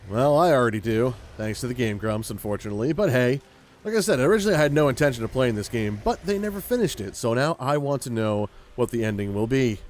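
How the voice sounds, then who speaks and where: A man's voice: medium pitch, lively intonation, quick pace, darkish tone, a little hiss or hum. A man hosting a gaming video with casual, rambling commentary, thinking aloud as he plays. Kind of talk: casual let's play commentary.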